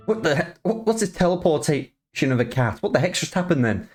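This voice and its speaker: A man's voice: fairly high pitch, very animated, fast talker, darkish tone, soft background music. Wry man reacting to a video. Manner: wry, chatty, laughing asides. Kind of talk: casual reaction commentary.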